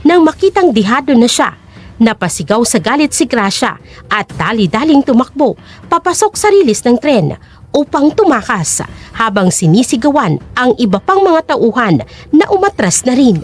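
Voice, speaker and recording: A woman's voice: a little high, very animated, moderate pace. A woman narrating an audio drama in a dramatic, scripted storytelling style.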